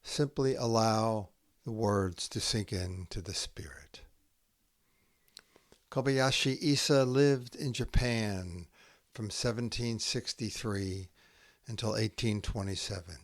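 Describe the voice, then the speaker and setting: A man hosting a poetry podcast, reading scripted lines in a measured, deliberate way with long pauses between short phrases. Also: on the low side, no echo, clean studio sound.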